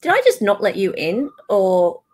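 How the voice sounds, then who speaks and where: A woman's voice: medium pitch, big pitch swings, normal speed, a little mellow. A woman hosting a casual livestream, gushing and repeating words for emphasis. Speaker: livestream host.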